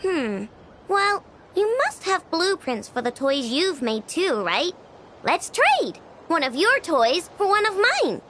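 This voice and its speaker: A woman's voice: very high-pitched, very animated, takes it slowly, noisy background, exaggerated voice. A woman voicing a small, bossy girl inventor in an anime-style game, blunt and self-important but kind underneath. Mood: proud, generous.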